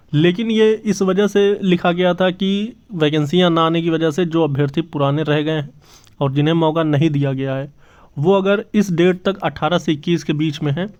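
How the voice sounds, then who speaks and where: A man's voice: on the high side, darkish tone. A man hosting his channel's job exam news update, talking earnestly and repeating himself with many fillers. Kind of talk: casual news update to camera. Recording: slightly dull lo-fi audio.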